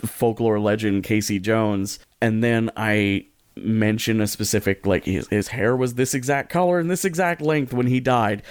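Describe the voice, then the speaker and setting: A man's voice: on the low side, steady tone, normal speed, smooth, no room sound, clean studio sound. A man co-hosting a podcast, giving casual advice in a rambling, jokey way and often interrupting himself. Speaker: podcast co-host.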